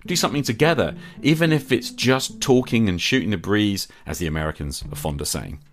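A man's voice: on the low side, a little fast. A middle-aged man hosting a podcast, delivering an earnest scripted monologue in short, emphatic phrases. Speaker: podcast host.

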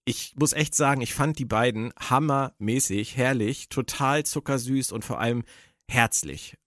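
A man's voice: medium pitch, moderate pace, a bit bright, no echo, studio quality. Chatty man hosting a podcast. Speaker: podcast host. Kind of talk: casual podcast chat.

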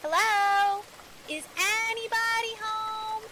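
A timid teenage girl, played by a woman in a 1940s radio horror drama. Her voice is high and slow, halting with fear. Rain sounds faintly behind her. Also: fairly even intonation. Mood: nervous. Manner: hesitant, halting, fearful. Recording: noisy recording with rain.

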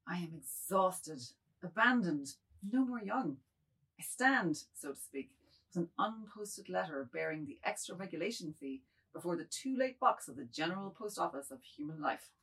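Low, husky woman as a meek aging man. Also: expressive, moderate pace, fairly bright tone, heavily acted, poor audio. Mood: weary, rambling. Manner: florid, meek, rambling. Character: submissive, wordy, fretful aging man. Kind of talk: dramatised literary reading.

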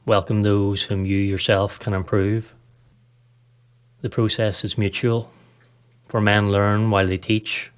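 A man with a fairly low voice leads a guided meditation from a script. His delivery is calm and measured, with very little rise and fall in pitch. He gives gentle instructions as a podcast host would to listeners.

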